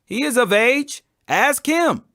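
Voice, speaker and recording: A man's voice: very high voice, lively intonation, slow, pushed and bright, no echo, studio quality, pitched above the performer's own voice, full character voice. A man voicing the cautious, fearful parents of a grown son, emphatic and declamatory, in a scripture reading. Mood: guarded.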